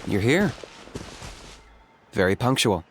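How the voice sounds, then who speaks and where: Low, deadpan young hunter. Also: male voice, slow pace, heavy background noise. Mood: dry. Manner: deadpan, matter-of-fact. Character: cool, stoic, businesslike young male hunter. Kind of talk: video game voice acting.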